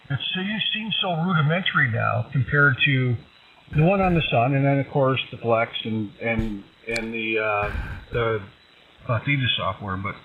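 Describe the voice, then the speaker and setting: A man's voice: mid-range voice, narrow, tinny audio. Thin, distant radio-voiced man. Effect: radio transmission. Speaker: ham radio operator on the air. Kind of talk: casual chat over radio. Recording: distant, thin, noisy shortwave radio link.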